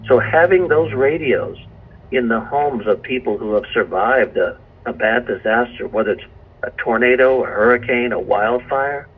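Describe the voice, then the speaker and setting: A man speaking as a meteorologist in an earnest testimonial, with measured, deliberate phrasing. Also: medium pitch, breathy edge, low-quality recording.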